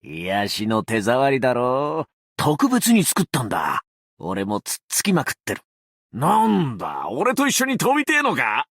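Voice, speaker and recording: A man playing a brash, cocky explosives fanatic with a tail in an anime game, talking in rough, swaggering slang with a gravelly voice. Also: medium pitch, wildly swooping pitch, unhurried, a bit bright, no echo, studio quality, full character voice. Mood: teasing, swaggering. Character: brash tailed male explosives fanatic, cocky bureau officer. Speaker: game character voice lines. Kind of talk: Japanese anime game voice acting.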